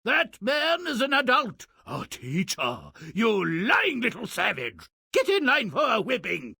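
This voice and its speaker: An actor playing a stern, spiteful schoolmaster in a fairy-tale video game, pompous, wordy and scolding. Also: male voice, very high-pitched, huge pitch swings, unhurried, gravelly, sharp tone, slightly rough, no echo, studio quality, exaggerated voice. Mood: furious scolding.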